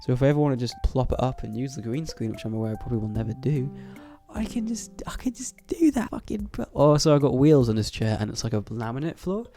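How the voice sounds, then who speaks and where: A young man with a stuffy nose from a cold, rambling casually to camera about moving into his student flat, swearing often and laughing between thoughts. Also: medium pitch, quick pace, hardly any pauses, soft background music. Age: young adult. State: congested from a cold. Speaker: YouTuber. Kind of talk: casual vlog chat to camera.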